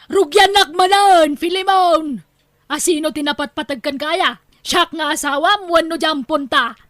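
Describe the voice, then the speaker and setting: A woman's voice: high voice, measured pace, a bit bright, no room sound, studio quality. A woman with an Ilocano accent playing a nagging, demanding wife and mother in an audio drama. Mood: jealous, demanding. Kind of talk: Ilocano radio-style drama acting.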